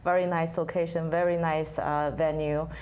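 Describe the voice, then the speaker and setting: A woman's voice: sits low, little pitch movement, moderate pace, a little mellow, slight background noise. A woman organizing a concert, answering interview questions in a chatty way with frequent fillers.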